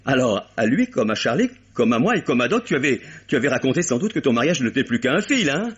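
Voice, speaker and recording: A man's voice: medium pitch, sing-song, fast talker, breathy edge. A man playing a stage actor suspected of murder, who is agitated, bitter and sarcastic and breaks off mid-sentence, in a dull lo-fi old radio drama. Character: anguished male stage actor accused of murder. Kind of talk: 1950s French radio drama acting.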